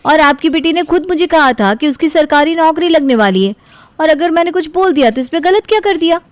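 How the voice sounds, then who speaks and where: A woman's voice: high-pitched, brisk, no echo, voice pushed up, close to an everyday voice. A woman voicing a brash, self-righteous young daughter-in-law in a cartoon, arguing back defensively. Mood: defiant. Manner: butts in, argues back, defensive. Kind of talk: cartoon voice acting.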